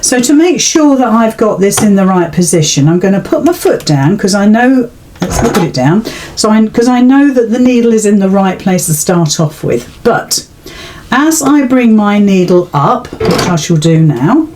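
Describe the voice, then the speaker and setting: A woman's voice: mid-range voice, sing-song, normal speed, darkish tone, slight background noise. A British woman giving an informal, step-by-step craft demonstration in a chatty, reassuring way. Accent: British English. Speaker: sewing tutorial host.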